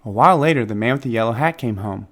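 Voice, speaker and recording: Expressive male storybook reader, very lively pitch. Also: fast. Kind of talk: expressive children's story narration.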